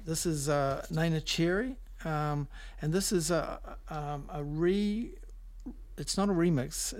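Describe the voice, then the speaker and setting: A man hosting a music show on radio, talking casually and off the cuff in a halting, rambling, hesitant way. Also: a little high, a little mellow. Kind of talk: casual, unscripted back-announcing of records.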